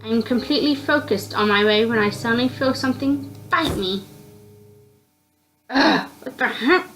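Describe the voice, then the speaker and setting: A woman reading a visual novel aloud in an expressive narrating style, now and then stumbling and repeating words. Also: a little high, rough recording.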